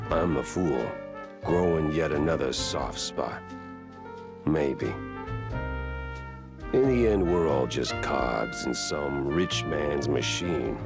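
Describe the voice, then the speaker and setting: Deep, world-weary noir detective. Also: male voice, exaggerated voice. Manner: wry, cynical, hardboiled. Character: world-weary, wisecracking cartoon noir detective, war veteran. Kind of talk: noir video game acting and narration.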